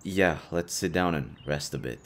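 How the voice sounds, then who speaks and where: A man's voice: rumbling low, normal speed, fairly bright tone. A man playing an easygoing young half-demon adventurer, talking casually to a best friend in an audio roleplay. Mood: relaxed, teasing. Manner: casual, teasing, chatty. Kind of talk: intimate audio roleplay acting.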